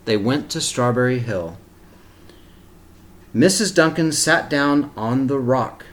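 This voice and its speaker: A man's voice: mid-range voice, expressive, slow pace. A man reading a picture book aloud expressively and explaining it to young children as a teacher. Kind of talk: animated children's storybook read-aloud with asides.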